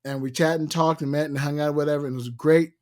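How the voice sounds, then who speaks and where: A man's voice: on the high side, steady tone, fast talker, a little mellow. A man hosting a casual podcast interview, rambling and repeating words as he searches for what to say. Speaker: podcast host.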